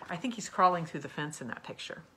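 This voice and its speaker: A woman's voice: sits low, animated, fast talker, sharp tone, slight background noise. A woman hosting a children's storytime, explaining things expressively and putting questions to her young listeners. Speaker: storyteller, former teacher. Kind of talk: read-aloud storytime for children, with asides and questions.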